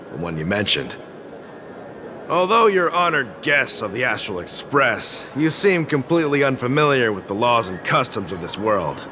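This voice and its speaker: A man's voice: on the low side, noisy background. A man voicing a chatty, earnest security officer in a video game, explaining things in a slightly fussy way. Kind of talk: video game character dialogue.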